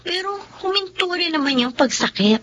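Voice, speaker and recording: An ailing, considerate younger sister in a Filipino radio drama. Her voice is high and moves widely up and down. She speaks in a plaintive, subdued way. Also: expressive, moderate pace, fairly bright tone, highs cut off, slight background noise. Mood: pained, plaintive.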